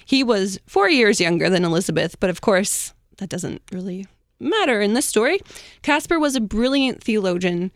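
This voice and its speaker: A woman's voice: mid-range voice, animated, normal speed, dry recording, studio quality. A woman hosting an informal history story among co-hosts, talking casually with slangy, chatty asides. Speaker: host telling a history story.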